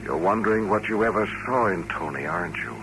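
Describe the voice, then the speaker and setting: An American actor playing a lonely, quietly controlling mutant suitor in a 1950s radio drama, hesitant yet insistent and coaxing. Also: male voice, lowish voice, a little fast, lightly acted. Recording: noisy vintage radio broadcast recording.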